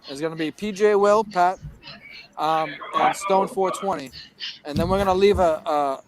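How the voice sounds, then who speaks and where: A man's voice: high-pitched, normal speed. A man co-hosting a podcast over an online call, easygoing as he lays out plans in casual chat. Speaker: podcast co-host. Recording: noisy call audio.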